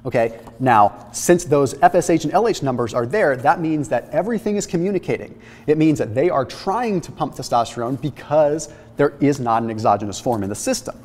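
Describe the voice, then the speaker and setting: A man in his thirties, a fitness channel host, explaining his blood test results to camera in an energetic, emphatic way from a kitchen studio set. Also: medium pitch, a little fast, big-room sound. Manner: energetic, explanatory, emphatic, gesturing while holding papers.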